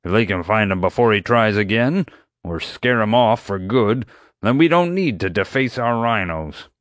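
A man's voice: on the low side, lively intonation, moderate pace, a bit bright, dry recording, clean studio sound, pitched above the performer's own voice. A man voicing a crotchety, prickly male animal-park vet who growls his retorts.

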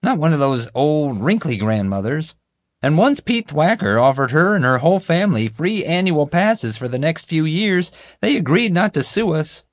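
A man voicing a bumbling, proud security guard in an audiobook, boastful, oblivious and excitable. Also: on the high side, moderate pace, few pauses, no room sound, studio quality, pitched above the performer's own voice. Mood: defensive. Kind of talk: audiobook character voice.

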